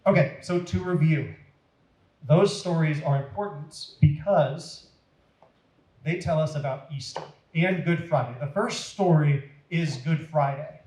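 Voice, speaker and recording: A man's voice: fairly high pitch, a little slow, darkish tone, echoey room, rough recording. A man with an American accent reading expressively and explaining things simply as a children's storyteller.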